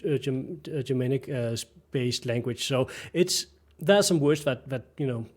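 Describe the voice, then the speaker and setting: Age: middle-aged. Sex: man. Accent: Danish. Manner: halting, restarts often, searches for words. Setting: video call from a bright home room. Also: medium pitch. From Denmark. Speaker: guest. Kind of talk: casual interview chat.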